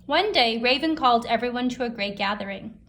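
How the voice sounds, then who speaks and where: A woman teacher reading a folktale aloud to children in a deliberate, expressive storytelling way. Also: a little high, slight background noise.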